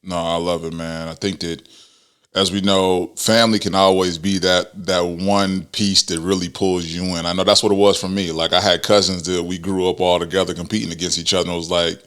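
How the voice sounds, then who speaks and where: A man's voice: sits low, level tone, a little fast, a bit bright, clean tone. A young man hosting a podcast, greeting with hype and then interviewing in an easy, affirming way. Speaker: podcast host.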